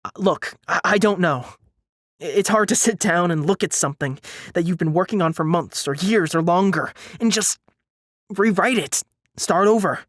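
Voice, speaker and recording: A man's voice: high voice, moderate pace, a bit bright, dry recording, studio quality. A man playing a self-doubting male college student in audiobook dialogue, speaking in a hesitant, faltering, defensive way. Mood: anxious, defensive. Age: young adult.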